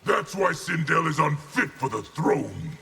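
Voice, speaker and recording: An actor playing a resentful, menacing warlord general in a video game, with a gravelly voice and hostile, forceful delivery. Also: male voice, on the high side, very animated, unhurried, heavy background noise, exaggerated voice.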